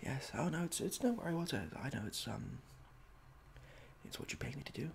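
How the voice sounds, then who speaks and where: A young man speaks softly in an ASMR roleplay, playing a polite, awkward examiner. His voice is creaky and moves widely in pitch, with halting delivery. Faint room noise sits behind him. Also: sing-song. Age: young adult. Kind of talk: soft-spoken ASMR roleplay. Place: small domestic room indoors.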